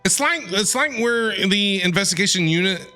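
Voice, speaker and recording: A male streamer gives casual commentary on a game. His voice is bright and lively in pitch, with joking, expressive reactions over faint game music. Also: moderate pace.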